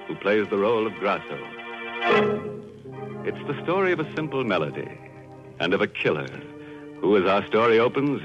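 A man's voice: fairly low pitch, fairly bright tone. An actor playing a ghoulish male horror host in a 1940s radio drama, delivering macabre puns with mocking chuckles. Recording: dull 1940s radio broadcast.

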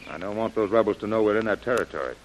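An actor playing a commanding male expedition leader who gives crisp orders in old radio acting. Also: lowish voice, racing, dull audio, a little hiss or hum, natural voice.

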